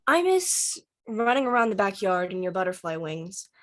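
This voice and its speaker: Woman as teenage boy, mid-pitched, introspective, dry wit, understated, table read drama. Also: very animated, moderate pace, fairly bright tone. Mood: wistful, dry. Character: introspective, dryly witty teenage boy named Dante. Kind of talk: screenplay table read dialogue.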